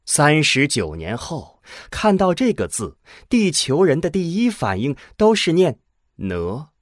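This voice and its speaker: A man's voice: mid-range voice, no room sound, studio quality. A man reading scripted narration for a science fiction audio drama.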